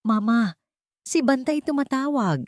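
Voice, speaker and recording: Woman putting on a shrill, haughty Filipino daughter-in-law. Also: lively intonation, unhurried, no echo, clean studio sound. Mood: falsely sweet. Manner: sharp, emphatic disdain. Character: haughty, scornful daughter-in-law, two-faced and snobbish. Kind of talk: storybook character voice.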